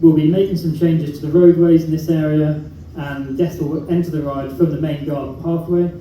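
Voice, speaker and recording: A man giving a presentation and answering questions, halting and full of fillers. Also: fairly high pitch, flat delivery, dark tone. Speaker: park presenter. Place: large echoey venue. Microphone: far from the recording camera. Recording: echoey distant room recording with noise.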